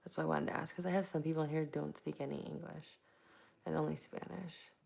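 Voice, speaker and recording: Woman from Tampa hosting a live stream: soft-spoken, low and heavily gravelly, chatting easily with viewers. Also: flat delivery, fast talker. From Tampa, Florida. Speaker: livestream host. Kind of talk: casual live chat answering viewers. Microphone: plugged-in microphone.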